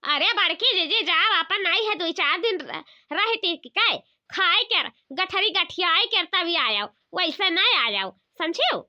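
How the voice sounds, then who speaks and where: A woman's voice: extremely high pitch, very animated, unhurried, few pauses, pushed and bright, lo-fi sound, heavily acted. A woman voicing a nosy, sly village woman in a comedy cartoon, gossipy and full of questions and exclamations, with an Awadhi accent. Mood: nosy, insistent. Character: nosy, sly, squeaky village women neighbours.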